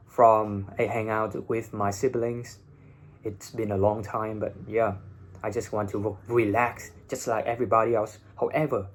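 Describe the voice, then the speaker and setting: Young man, Vietnamese accent, mid-range pitch, slightly rough husky tone, casual online teacher, informal, sweary, phone recording. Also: darkish tone. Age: young adult. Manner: blunt, informal, swears freely. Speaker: English teacher and video host. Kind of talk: casual advice talk to camera. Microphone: phone, no separate microphone.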